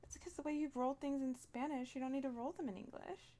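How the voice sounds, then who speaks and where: A woman's voice: high voice, fairly even intonation, fairly quick, dark tone. A woman talking in character during a roleplay, playful and slipping into Spanish phrases. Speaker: roleplay player.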